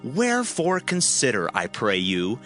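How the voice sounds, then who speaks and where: Man playing a forceful, indignant ancient king. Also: mid-range voice, wildly swooping pitch, measured pace, soft background music. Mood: indignant, alarmed. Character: distraught, indignant king of Israel. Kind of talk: dramatized scripture reading.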